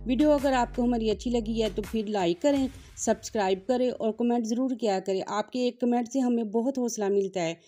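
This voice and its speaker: A woman's voice: a little high, fairly quick, few pauses, dark tone. A woman, hosting a cooking channel and narrating each step politely in a voice-over. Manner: step-by-step, polite, religious greetings.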